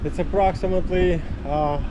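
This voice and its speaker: Guy doing a casual gadget review, slightly high voice, choppy, noisy background. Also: fairly even intonation. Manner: halting, choppy phrasing. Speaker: product reviewer. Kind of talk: casual hands-on product demo. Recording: noisy, vehicle and ambient background noise.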